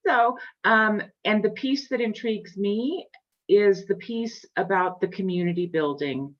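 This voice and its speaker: A woman on a video call, asking about the software with eager but hesitant starts. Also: mid-range voice, measured pace, dull audio. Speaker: church participant in a video call.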